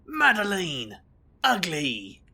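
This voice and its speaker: A man playing a boastful, scheming supernatural investigator in an audio drama, wry, glib and theatrical, with a gravelly, husky voice. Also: high-pitched, huge pitch swings, slow pace, sharp tone, full character voice. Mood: flustered. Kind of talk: audio drama narration and dialogue.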